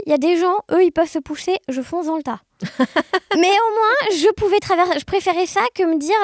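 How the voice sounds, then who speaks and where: High-pitched young woman, expressive. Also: no room sound, clean studio sound. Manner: animated, expressive, uses sound words. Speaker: podcast guest. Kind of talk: casual conversational storytelling.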